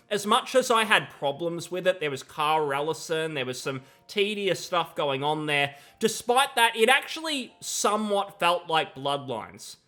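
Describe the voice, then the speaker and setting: Bright-voiced young man giving critical commentary. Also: on the high side. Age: young adult. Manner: disapproving, emphatic. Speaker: gaming commentator. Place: small room at home. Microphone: close desk microphone, headset on.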